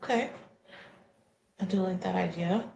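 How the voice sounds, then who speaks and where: A woman's voice: on the low side, unhurried, creaky, mellow, muffled recording, slight background noise. A woman playing a tabletop roleplaying game, speaking casually and tentatively as she improvises. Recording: roomy echo.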